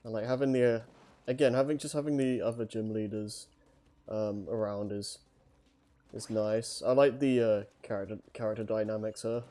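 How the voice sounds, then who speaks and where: Man, livestream host, dark relaxed tone, casual chat, halting hesitant delivery. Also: fairly low pitch. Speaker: host of a story-reading livestream.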